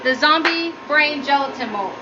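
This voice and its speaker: High-pitched woman, nervous giggly public speaker, humorous student speech, dull audio with clear background noise. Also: expressive, normal speed. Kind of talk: humorous prepared classroom speech. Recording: muffled low-quality audio, noticeable background noise.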